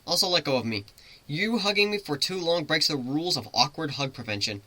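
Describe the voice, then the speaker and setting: A man's voice: a little high, animated, brisk, sharp tone. A man voicing a cocky, joking male griffin who teases and rambles informally in a dramatic story reading. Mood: teasing. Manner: informal, teasing, rambling explanations.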